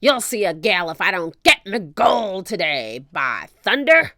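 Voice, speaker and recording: A woman's voice: medium pitch, sing-song, measured pace, sharp tone, no room sound, clean studio sound. A woman voicing a horrible, bullying pirate captain in a children's storybook, growling and roaring orders in pirate talk. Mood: roaring, commanding.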